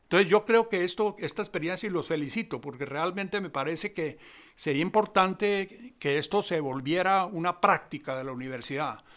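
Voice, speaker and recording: Guy explaining stuff like a teacher, emphatic, voice a bit high. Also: a bit bright. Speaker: interviewed theatre expert. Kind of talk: reflective spoken analysis.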